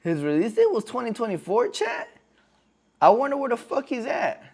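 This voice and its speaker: A man's voice: extremely high pitch, wildly swooping pitch, normal speed, creaky, darkish tone, husky. A man in his twenties chatting casually on a live stream in slangy talk, often calling viewers "bro". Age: 20s.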